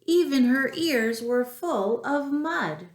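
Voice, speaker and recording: A woman reading a storybook aloud to young children, expressive and deliberate, with asides to the listeners. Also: high-pitched. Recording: echoey room.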